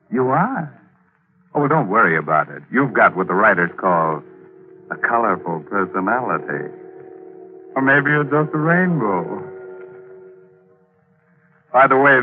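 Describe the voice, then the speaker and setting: An actor playing a sardonic, mocking host of an old radio mystery show. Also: male voice, medium pitch, lightly acted. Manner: mocking, drawn-out delivery. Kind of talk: 1940s radio acting. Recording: old tinny radio broadcast.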